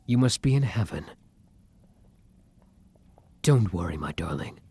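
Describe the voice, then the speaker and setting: Man as a very breathy, tender vampire lover. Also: low-pitched, sing-song, a little slow, heavily acted. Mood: tender, reassuring. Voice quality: breathy, often dropping into whispers. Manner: gentle, courtly, earnest endearments. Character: tender, weary male vampire lover on the run. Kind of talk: intimate ASMR romance roleplay.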